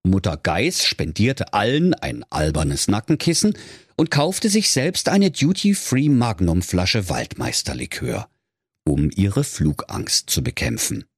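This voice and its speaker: A man's voice: low voice, huge pitch swings, takes it slowly, slightly rough, no room sound, clean studio sound. A man telling a scripted comic fairy tale in a mock-solemn storybook manner.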